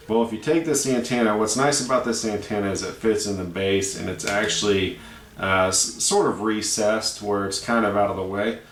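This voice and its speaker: A man giving a casual, plain-spoken product review, explaining features step by step with hesitant pauses. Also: on the low side, a bit bright. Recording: roomy echo, distant mic, faint noise.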